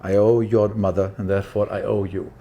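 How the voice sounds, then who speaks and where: A man plays a big, weathered sea captain in a tabletop roleplaying game. He is gruff yet protective. His manner is folksy and fatherly, like he is looking after a youngster. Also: fairly low pitch, level tone, a little fast, mellow, dull audio. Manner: folksy, fatherly, calling the listener boy.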